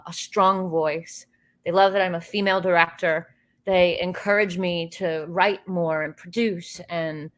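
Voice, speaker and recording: Low-voiced woman telling rambling stories. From United States. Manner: rambling, animated storytelling with frequent fillers and restarts. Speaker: interview guest, actress and filmmaker. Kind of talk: casual conversational interview.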